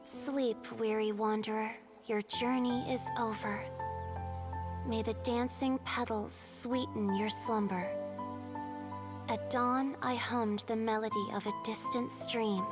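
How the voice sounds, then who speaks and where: An actress playing a gentle, wistful female water spirit, speaking tenderly and poetically in a game story. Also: on the high side, steady tone. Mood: tender, lyrical. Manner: tender, soft, measured, poetic delivery. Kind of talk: game voice acting, lyrical poetry recital. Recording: studio voice with loud music behind.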